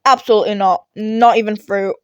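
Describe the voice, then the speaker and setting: A woman's voice: medium pitch, steady tone, brisk, smooth, dry recording, studio quality, natural voice. A woman voicing a grumpy, weary male teacher in a fan fiction reading, curt and exasperated. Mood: firm. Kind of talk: podfic character voice.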